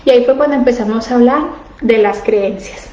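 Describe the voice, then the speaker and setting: Woman coach, earnest, questioning, live-stream chat, roomy echo, slightly high voice. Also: brisk, a little mellow, lo-fi sound. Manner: earnest, asks the audience direct questions. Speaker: coach on emotional eating, live stream host. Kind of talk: casual live-stream talk to women. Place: home, living abroad.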